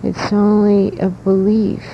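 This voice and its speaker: A woman giving a spiritual lecture in deliberate, halting phrases, instructing the listener like a teacher, on a muffled old cassette recording. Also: monotone. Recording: muffled noisy old cassette tape with rumble.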